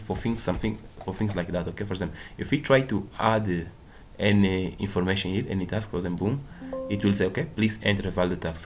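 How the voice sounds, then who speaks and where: Brief: a man, native Portuguese speaker, lowish voice, casual coding tutor, keyboard clicks under a faint hiss. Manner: casual, repeats "okay" and "boom" often; non-native English with small slips. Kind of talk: screen-recorded coding walkthrough.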